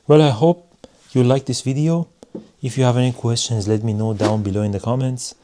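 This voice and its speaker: A man giving a casual hands-on product review in measured, non-native English phrasing. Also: mid-range voice, steady tone, background noise. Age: adult.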